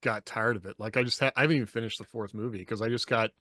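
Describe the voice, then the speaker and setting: A man's voice: lowish voice, steady tone, very fast, fairly bright tone, no echo, clean studio sound. A man co-hosting a podcast, speaking in casual, reflective discussion. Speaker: podcast co-host.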